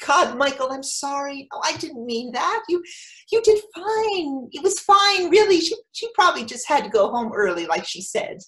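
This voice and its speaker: A woman's voice: high voice, normal speed. Anxious, overeager mother telling her story acted by a woman. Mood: apologetic. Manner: animated storytelling, breaking into quoted dialogue. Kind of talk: theatrical monologue, staged reading.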